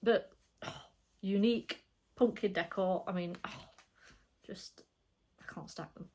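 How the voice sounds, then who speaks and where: A woman's voice: medium pitch, a little slow. A woman, a bit bunged up with a cold, chatting casually and rambling in a craft vlog at home. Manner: rambling, chatty, soft-spoken with frequent fillers. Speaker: flosstube vlogger. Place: her home.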